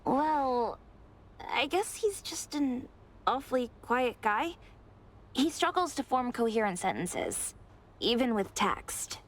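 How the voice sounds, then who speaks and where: A woman voicing a kindly, candid high school girl in an anime game, explaining things plainly. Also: big pitch swings, slow, full character voice.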